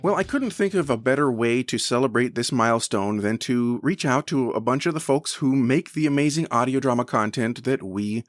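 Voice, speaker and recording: A man hosts a podcast in a conversational style, his voice sitting at an average pitch. He comes across as enthusiastic about what he presents. The sound is dry, with very little room on it. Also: normal speed, few pauses, no echo, faint music behind. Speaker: podcast host.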